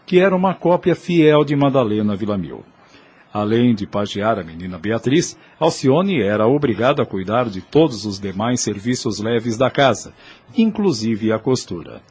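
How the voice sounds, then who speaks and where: Husky Brazilian male narrator. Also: medium pitch, animated, normal speed, a little mellow. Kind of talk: scripted narration of audio drama.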